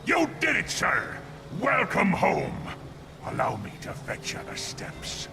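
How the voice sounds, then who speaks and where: Game role: jolly old pirate ghoul, stage pirate accent, heavy gravel, lively swings in pitch, unhurried. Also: male voice, noisy background, heavily acted. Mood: delighted. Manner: archaic pirate speech, exclaiming heartily. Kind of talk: theatrical video game character acting. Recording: music behind the voice.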